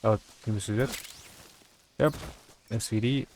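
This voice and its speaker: A man's voice: on the low side, unhurried, darkish tone, slight background noise. A man doing live video game commentary as a streamer, casually muttering his thoughts aloud as he plays.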